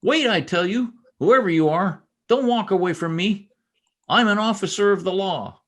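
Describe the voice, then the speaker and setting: A man in an old-time radio play, acting a protective, brusque young state trooper with a gruff, commanding manner. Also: high voice, normal speed, natural voice. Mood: alarmed, commanding. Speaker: amateur radio play actor. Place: home, over a video call.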